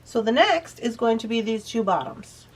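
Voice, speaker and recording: A woman's voice: fairly high pitch, expressive, moderate pace, slightly rough. A woman hosting a beading tutorial, explaining each step in a chatty, easygoing way while she works. She is a bit sniffly. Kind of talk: casual step-by-step craft demonstration.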